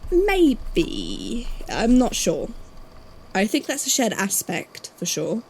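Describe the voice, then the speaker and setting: A woman's voice: on the high side, wildly swooping pitch, creaky, a little mellow, exaggerated voice. Woman acting hesitant investigator, noisy recording. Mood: hesitant, thoughtful. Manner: hesitant, halting, thoughtful. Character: guarded, puzzled investigator from a supernatural archive. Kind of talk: audio drama dialogue.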